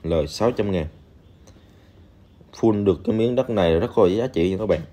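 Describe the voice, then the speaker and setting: A man's voice: lowish voice, normal speed, dark tone. A man talking casually over a game screen recording, speaking straight to viewers with many fillers. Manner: chatty, addresses viewers directly, many fillers. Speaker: game reviewer.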